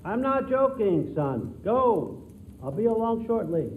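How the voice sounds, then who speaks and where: A man's voice: high-pitched, big pitch swings, measured pace, narrow, tinny audio, slight background noise. An older man acting as a folksy, paternal, manipulative god-king in a video game cutscene. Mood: impatient, firm. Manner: casual, folksy, coaxing, commanding when crossed.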